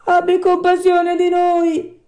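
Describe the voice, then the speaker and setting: A woman voicing a wretched, sly old begging fox in a storybook, whining and pleading in drawn-out phrases. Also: very high voice, monotone, mellow, voice pushed up.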